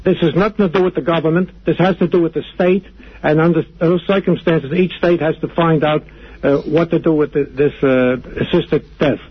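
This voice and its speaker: An older man calls in by phone, sounding earnest and authoritative. His voice is rough and a little high. The line is dull and lo-fi. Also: fairly even intonation, a little fast. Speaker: phone caller. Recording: dull phone call on broadcast.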